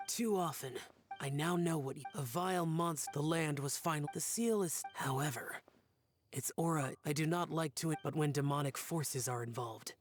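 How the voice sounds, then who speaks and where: A man's voice: on the high side, moderate pace, a little hiss or hum, close to an everyday voice. A man voicing a stern, brooding immortal guardian in a video game, solemn, terse and aloof. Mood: solemn, grim. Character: stern, brooding male immortal guardian spirit, demon hunter. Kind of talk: English video game voice acting.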